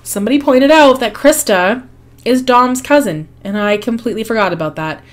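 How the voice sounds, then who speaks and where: A woman hosting a life-sim game video, her voice hoarse and fading, chatting casually with frequent ums and small laughs. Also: on the high side, normal speed. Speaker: gaming channel host. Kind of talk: casual gameplay commentary.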